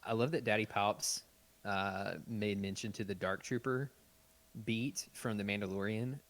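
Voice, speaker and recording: A man's voice: fairly low pitch, steady tone, a little slow, fairly bright tone. A man chatting casually as the host of a podcast. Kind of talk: casual live podcast chat.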